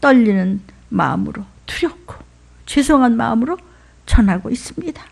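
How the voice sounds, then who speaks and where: Middle-aged woman pastor, Seoul accent, animated and slightly high-pitched, emphatic rhythmic preaching. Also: moderate pace, gravelly, husky. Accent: Seoul dialect. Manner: emphatic, rhythmic listing with sudden whispers and shouts. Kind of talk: sermon.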